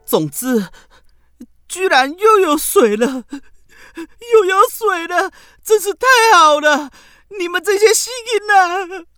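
Casting: excitable school kid female actor, slightly slow, very expressive delivery, Taiwanese Mandarin, children's audio drama with music behind. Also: extremely high pitch, dry recording, clean studio sound, full character voice. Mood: overjoyed. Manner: exclamatory, theatrical. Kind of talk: children's radio drama acting.

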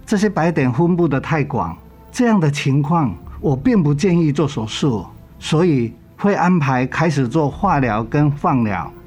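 A man playing a calm, caring hospital doctor in a radio drama, measured and professional. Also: fairly high pitch, breathy edge, soft background music. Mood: serious.

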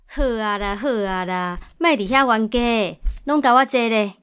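Casting: excitable, prying Taiwanese mom, middle-aged, high-pitched, slips into Hokkien, comedy audio play. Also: female voice, clean tone. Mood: scolding, prying. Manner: mixes Mandarin with Taiwanese Hokkien, rapid questioning. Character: nosy, excitable middle-aged mother of two. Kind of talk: comedic audio drama acting.

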